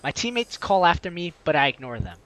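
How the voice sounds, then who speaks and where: Man, high-pitched voice, very lively intonation, playful dramatic game narration, let's play host. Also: fairly quick. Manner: animated, playful, dramatic reading. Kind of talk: reading a game story aloud with side commentary.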